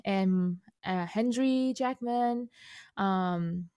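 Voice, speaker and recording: A woman's voice: medium pitch, dark tone, clear, resonant tone, no echo, clean studio sound. Woman with Indonesian native tongue. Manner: halting, many fillers and restarts, laughs often. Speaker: guest composer. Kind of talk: casual interview.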